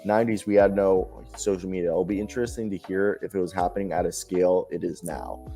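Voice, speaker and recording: A young man co-hosting a live basketball stream, talking loudly and animatedly in casual chat and laughing often. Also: low voice, steady tone, quick pace, vocal fry, soft background music. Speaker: co-host of a live basketball show.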